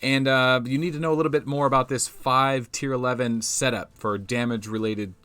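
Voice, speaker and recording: A young man with a mid-range voice that has gone a little hoarse talks through a game replay in a loose, thinking-aloud way. He restarts sentences often and trails off into quieter asides. The sound is dry and close, with no room around it. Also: medium pitch, steady tone, a little fast, no room sound. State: slightly hoarse, losing his voice. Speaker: game channel host. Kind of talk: casual gameplay commentary.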